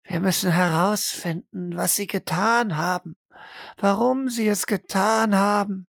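A woman's voice: mid-range voice, measured pace, creaky, no echo, clean studio sound. A woman playing a frustrated interrogator in an audio roleplay, terse and exasperated. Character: frustrated interrogator probing a prisoner's dreams.

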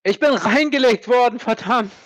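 A man's voice: extremely high pitch, normal speed, no room sound. A man acting in an audio drama as an embittered former army captain, indignant, defiant and prone to swearing. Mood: angry, desperate.

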